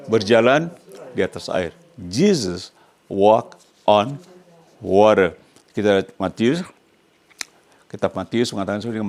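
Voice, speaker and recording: A man's voice: mid-range voice, a little mellow. An older man from Indonesia with an Indonesian accent, a pastor preaching to a congregation in an emphatic way, often prompting them with questions. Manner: emphatic, asks the congregation questions to complete his phrases. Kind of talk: sermon to a congregation.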